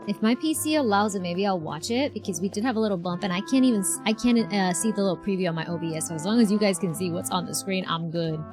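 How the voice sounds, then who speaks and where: A woman's voice: medium pitch, quick pace, hardly any pauses, over music. A woman streamer chatting casually and reacting as she plays a game, talking to her viewers. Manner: reactive, talks to chat. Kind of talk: casual live game playthrough chat.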